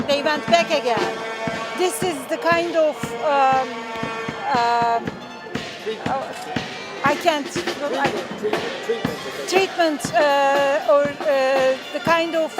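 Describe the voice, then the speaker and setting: Uma mulher com sotaque turco fala devagar e com voz aguda, hesitando com muitas pausas e sons de preenchimento. Ela está numa manifestação ao ar livre na rua, e música alta toca bem perto, atrás dela. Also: female voice, sits high, over loud music. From Turkey. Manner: halting, many fillers, earnest. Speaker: rally participant. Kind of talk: street interview. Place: outdoor street rally.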